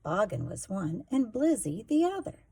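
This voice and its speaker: A woman hosting storytime, reading a rhyming children's picture book aloud with expressive, rhythmic delivery. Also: mid-range voice, normal speed, husky. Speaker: storytime host, reader.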